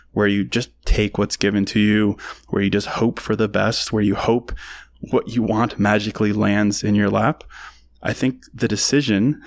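A man's voice: on the low side, no echo. An American man in his thirties hosting a podcast, giving a casual motivational monologue in a conversational, emphatic way, repeating phrases for effect. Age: 30s. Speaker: podcast host.

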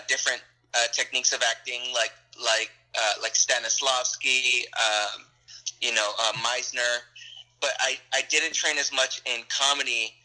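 A man's voice: medium pitch, pushed and bright, poor audio. A young adult man, an actor, answering interview questions in a friendly, rambling way with frequent laughs and restarts.

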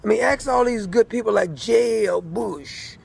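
A man's voice: high-pitched, moderate pace, vocal fry, a crowd in the background. A man delivering a rambling political rant, repeating himself emphatically. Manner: repetitive, emphatic.

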